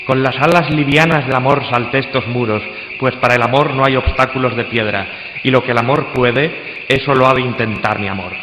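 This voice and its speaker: A man's voice: medium pitch, fairly even intonation, fairly quick, natural voice. A man playing a bold, earnest young lover with poetic, declamatory delivery in a classical radio play. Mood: ardent, earnest. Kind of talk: live radio play, classical romantic drama. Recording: noisy echoey hall with crickets.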